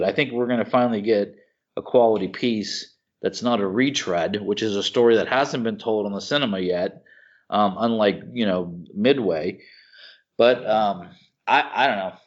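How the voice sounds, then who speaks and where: Informal, rambling man on a dull podcast recording. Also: lowish voice. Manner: rambling, halting, searches for words; informal, enthusiastic. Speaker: podcast co-host. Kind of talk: casual chat about films and TV shows. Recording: slightly dull, lo-fi podcast audio.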